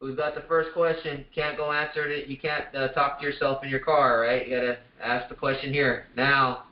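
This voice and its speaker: A man's voice: mid-range voice, little pitch movement, moderate pace. A man co-hosting a group coaching call, chatting casually in short, playful, informal remarks, on roomy lo-fi audio. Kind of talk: casual chat, short interjections.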